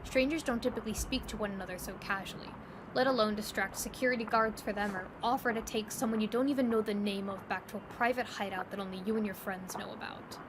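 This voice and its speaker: A woman's voice: medium pitch, fast. A woman narrating a scripted story in the second person as the narrator of an audio drama. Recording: loud steady background ambience noise. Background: ambient noise.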